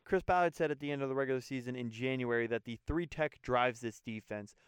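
A young man from New Jersey, hosting a podcast and reading prepared interview questions smoothly. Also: medium pitch, fast talker, dry recording, studio quality. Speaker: podcast host.

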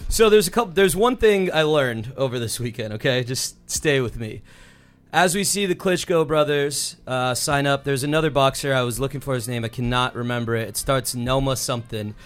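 A man's voice: medium pitch, fairly quick, a bit bright. A man co-hosting a casual podcast who steers the conversation, laughs a lot and teases the others. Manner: leads topics, laughs often, playful teasing. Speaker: podcast co-host. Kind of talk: casual chat.

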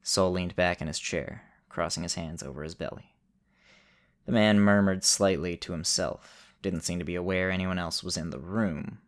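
A deep-voiced man narrates a fantasy story. He delivers it in deliberate, clipped phrases, leaving dramatic pauses between them. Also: fairly even intonation.

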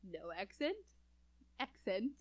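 A woman's voice: medium pitch, lively intonation, slow. A young adult woman from California chatting casually as a co-host, laughing often between phrases. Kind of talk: casual chat.

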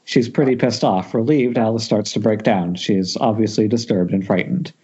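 A man's voice: fairly low pitch, steady tone, normal speed, mellow, a little hiss or hum. A man reading screenplay stage directions as narrator at a table read, now and then stumbling over words. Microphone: Skype call. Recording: dull internet call.

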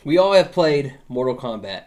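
A man's voice: mid-range voice, sing-song, moderate pace, darkish tone. A man co-hosting a casual podcast, talking in an easygoing way. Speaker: podcast co-host. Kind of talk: casual group chat.

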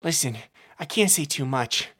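A man's voice: fairly high pitch, expressive, normal speed, a bit bright, husky. A man voicing a timid, fussy male bartender who over-explains. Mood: nervous.

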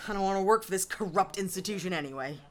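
A woman's voice: medium pitch, racing, lightly acted. A woman playing a brash, wry, persuasive police sergeant in an audio drama. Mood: bitterly wry.

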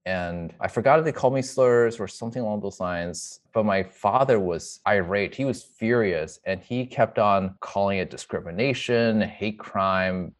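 A man's voice: on the low side, normal speed, hardly any pauses. A man in his thirties telling a personal story as an interview guest, conversational and reflective. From Chinese American, in the US from childhood.

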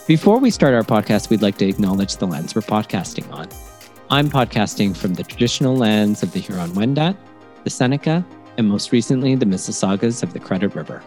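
A man's voice: lowish voice, sing-song, brisk, darkish tone, faint music behind. A man hosting a podcast in a casual, chatty way and putting questions to his co-host.

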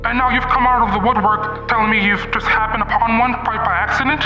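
High-pitched, shady wisecracking dealer. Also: male voice, steady tone, quick pace, dull audio. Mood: boastful, wary. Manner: wisecracking, slangy, boastful. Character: shady, domineering, fast-talking male instrument dealer. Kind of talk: old-time radio mystery acting. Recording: roomy, distant, music underneath.

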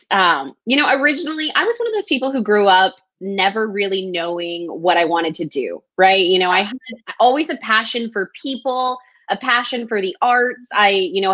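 A woman's voice: medium pitch, fairly bright tone, dry recording. A woman from Texas speaks as a podcast guest, recounting her own path in a candid, reflective way. Her voice sounds close and dry, with a slightly dull, muffled tone from the remote call. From El Paso, Texas. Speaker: guest, recruiter. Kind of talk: casual interview storytelling.

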